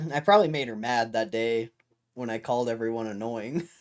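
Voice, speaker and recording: A hoarse young man hosting a livestream in a loose, rambling way, talking to his chat and often clearing his throat. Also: mid-range voice, moderate pace. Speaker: livestream host.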